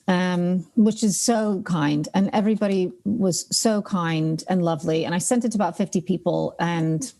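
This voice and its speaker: A woman's voice: fairly low pitch, darkish tone. Chatty woman author storytelling. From United States. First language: Ukrainian. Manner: chatty, lots of ums and restarts. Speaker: author, podcast guest. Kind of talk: casual interview storytelling. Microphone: video call.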